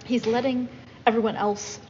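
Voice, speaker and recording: A woman's voice: a little high, flat delivery, moderate pace, a little mellow, lo-fi sound, a little hiss or hum. Thoughtful woman co-host, echoey. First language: not Korean. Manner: thoughtful, hedging. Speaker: podcast co-host. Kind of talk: casual discussion of song lyrics.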